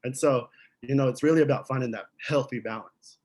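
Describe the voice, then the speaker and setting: A man's voice: medium pitch, a little fast, darkish tone, breathy edge. A man on an online panel speaking earnestly and often ending points with "right?". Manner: earnest, frequent "right?" tags. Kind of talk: panel discussion over video call.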